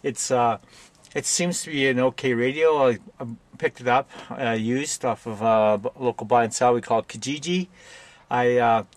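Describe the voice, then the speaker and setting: A Canadian man talks through a do-it-yourself job in an everyday mid-range voice. His delivery is plainspoken and halting, clearly unscripted. The sound is close and dry, with very little room to it. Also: mid-range voice, no echo. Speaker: DIY video presenter. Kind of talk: casual hands-on tutorial narration.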